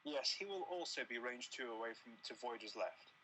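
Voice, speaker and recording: Bright-voiced man, polite and measured. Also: mid-range voice, expressive. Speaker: player in a tabletop game match. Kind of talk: short, deliberate game talk. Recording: background noise.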